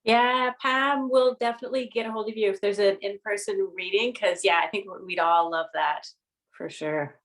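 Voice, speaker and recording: A woman's voice: medium pitch, normal speed, fairly bright tone. A woman casually hosting a question session, informal and encouraging. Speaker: library event host.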